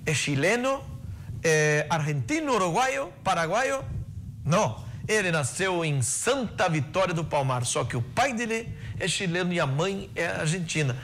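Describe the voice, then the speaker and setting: Gaucho tv host, jokey, kinda high voice, little hiss. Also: male voice, big pitch swings, unhurried, a bit bright. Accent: gaúcho. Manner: jokey, playful, laughs often. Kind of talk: casual chat interview.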